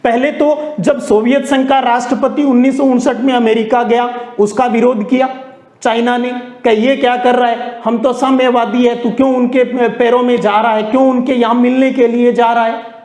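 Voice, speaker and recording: High-pitched man teaching, echoey room. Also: little pitch movement, normal speed, darkish tone. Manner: conversational, explanatory, asks questions and answers them. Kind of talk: storytelling classroom lecture.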